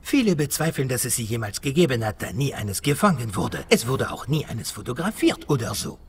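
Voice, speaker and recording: A man's voice: mid-range voice, big pitch swings, a little fast, slightly rough. A man playing a fussy, dreamy, easily outraged French riding instructor in a cartoon audio play, with a French accent and hesitant, theatrical speech. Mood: reverent storytelling. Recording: music under the voice.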